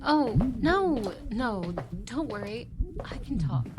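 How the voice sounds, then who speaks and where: A woman acts out a cheerful female Christmas elf living undercover as a roommate. She speaks very slowly in a slightly high voice, chatty and a little hesitant, in an intimate ASMR roleplay style. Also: big pitch swings, noisy background. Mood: friendly, admiring. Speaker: roleplay voice actress.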